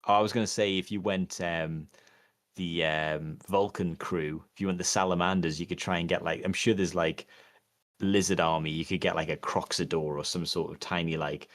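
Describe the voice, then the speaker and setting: A man talks in a casual podcast chat, his voice sitting very low. He rambles, trails off and restarts, full of fillers. The sound is dry and close, with no room echo. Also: fairly even intonation, normal speed, fairly bright tone. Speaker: podcast co-host.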